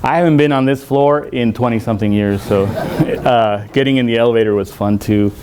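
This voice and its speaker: A man from El Salvador, somewhere in his forties, speaks at a conference in a university hall at a middle pitch. He jokes at his own expense and pads his sentences with many fillers. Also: fairly quick, noisy background. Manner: self-deprecating, joking, with many fillers. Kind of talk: conference talk.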